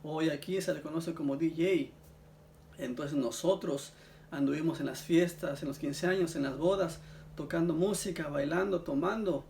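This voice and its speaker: Gravelly Mexican man giving testimony. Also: a little high, moderate pace, darkish tone, a little hiss or hum. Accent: Mexican Spanish. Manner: earnest, addresses listeners as brothers, frequent "eh" fillers. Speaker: church member sharing his testimony. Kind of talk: personal religious testimony.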